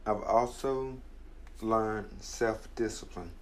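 Young man, early twenties, earnest motivational talker, slow deliberate delivery, lowish voice. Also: rough recording. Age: 20s. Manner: earnest, measured, reflective. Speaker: podcast host. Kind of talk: motivational personal talk.

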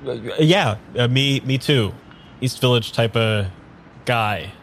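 A man's voice: mid-range voice, fairly bright tone, a little hiss or hum. A man playing a bewildered, earnest amnesiac in an improvised audio drama, speaking in an unsure, conversational way. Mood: awkward. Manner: halting, unsure, rambling. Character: bewildered, earnest man without his memory. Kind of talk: improvised audio drama dialogue and first-person narration.

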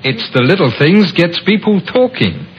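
An actor playing a smooth-talking young handyman conman with folksy salesman patter and a menacing streak, in an old radio drama. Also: high-pitched, very animated, normal speed. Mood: smooth, ingratiating. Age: young adult. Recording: dull, noisy old radio recording.

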